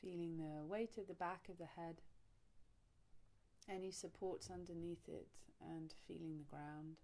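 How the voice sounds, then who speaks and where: A woman leading a guided relaxation meditation, soothing and unhurried, speaking in short phrases with long pauses. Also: fairly low pitch, steady tone, gravelly, dark tone. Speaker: yoga nidra teacher, meditation guide.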